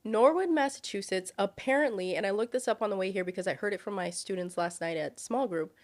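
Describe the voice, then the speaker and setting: A woman's voice: medium pitch, quick pace. A woman in her thirties, from Brazil and raised in the United States, telling stories as a podcast guest and laughing often. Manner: lively storyteller, laughs often. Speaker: guest, high school ministries director. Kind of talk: casual podcast chat.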